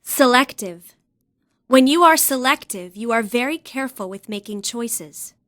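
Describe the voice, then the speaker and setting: A woman's voice: fairly high pitch, animated, unhurried, fairly bright tone. A woman reading words, definitions and example sentences from a script as a lesson narrator.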